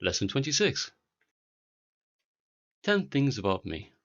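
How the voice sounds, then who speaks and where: Slow, animated British teacher. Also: male voice, medium pitch, lo-fi sound. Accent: British English. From Britain. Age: 40s. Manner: clear, deliberate delivery for learners. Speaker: English teacher. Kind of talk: slow beginner English lesson with repetition.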